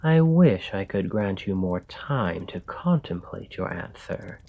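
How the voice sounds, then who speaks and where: A man playing a calm, calculating royal in an ASMR roleplay, speaking in a measured, formal and deliberate way. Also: fairly low pitch, dull audio. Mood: composed, courteous. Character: calm, calculating male royal bargaining with his assassin. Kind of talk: ASMR roleplay, scripted dramatic monologue.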